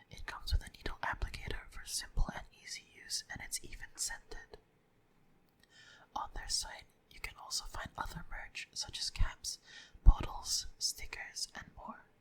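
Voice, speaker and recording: Whispering woman, ASMR narration. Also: fairly bright tone. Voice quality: whispered, unvoiced and breathy. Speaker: video host reading a sponsor message.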